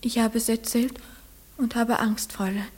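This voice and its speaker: Casting: female performer as shy, earnest village girl, high pitch, short timid replies, roomy radio-play sound. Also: flat delivery, moderate pace, darkish tone, close to an everyday voice. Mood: timid, pleading. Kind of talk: German radio play acting.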